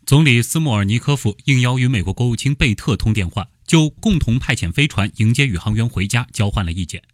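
An actor playing a brisk TV news commentator of about thirty, reading formal reports in a radio drama. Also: male voice, medium pitch, lively intonation, no echo, clean studio sound, close to an everyday voice.

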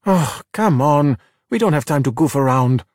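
A man's voice: fairly high pitch, normal speed, darkish tone, breathy edge, no echo, studio quality. A man voicing a feisty, exuberant young girl, emphatic and outspoken, in a storybook. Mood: annoyed, alarmed. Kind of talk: children's storybook character voice.

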